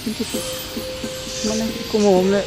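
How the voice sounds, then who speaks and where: A man's voice: high-pitched, monotone, moderate pace, over music, close to an everyday voice. A man with a Bangladeshi accent playing a meddling, cowardly household servant in a comedy drama, deferential and chatty. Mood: curious. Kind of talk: Bengali comedy drama acting.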